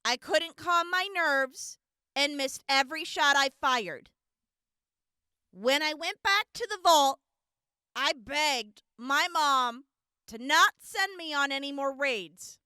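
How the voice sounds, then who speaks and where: A woman playing a gentle, earnest young wasteland medic giving courtroom testimony in an audio drama, speaking deliberately with weighty pauses. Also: high voice, animated, no echo, studio quality, full character voice. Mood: earnest, confessing.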